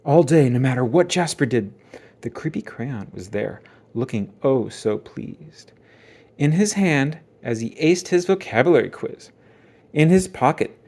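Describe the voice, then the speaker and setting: A man's voice: medium pitch, a little mellow. Man telling a story with big, swooping intonation. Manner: theatrical, suspenseful pauses and emphasis. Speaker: children's book read-aloud narrator. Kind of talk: dramatic scripted storytelling.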